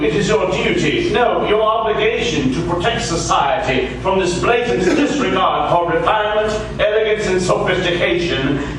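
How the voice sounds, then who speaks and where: Very echoey live room: actor as pompous male prosecutor, declamatory and animated, radio comedy. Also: high voice. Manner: grandiose, declamatory. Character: pompous, outraged courtroom fashion prosecutor. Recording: noisy, very echoey live room, distant mic.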